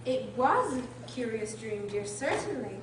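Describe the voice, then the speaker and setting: A gentle older sister in a radio play, acted by a woman, speaks in a high, lively voice. She sounds far from the mic in a very echoey, noisy room. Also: measured pace. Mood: tender. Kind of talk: radio drama acting.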